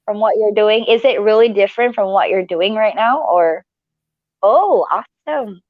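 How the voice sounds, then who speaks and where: A woman's voice: mid-range voice, normal speed, dry recording, lo-fi sound. A woman from Malaysia chatting casually and giggly as co-host of a live stream from her living room at home.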